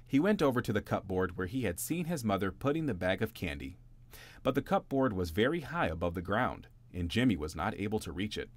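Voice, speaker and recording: A man reading a bedtime story aloud in a gentle, expressive storytelling manner. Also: on the low side, brisk. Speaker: narrator.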